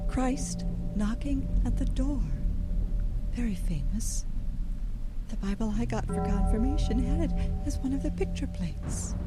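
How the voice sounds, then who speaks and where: An actress playing a despairing, trapped lone survivor in a horror audio drama, brooding and halting, with loud music underneath. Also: female voice, fairly high pitch. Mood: brooding, resigned.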